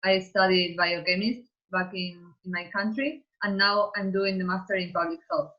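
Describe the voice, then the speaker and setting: A woman's voice: mid-range voice, level tone, normal speed. A woman from Argentina, a Spanish speaker, answering panel questions plainly, with a few restarts. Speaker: graduate student panelist. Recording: dull lo-fi call audio.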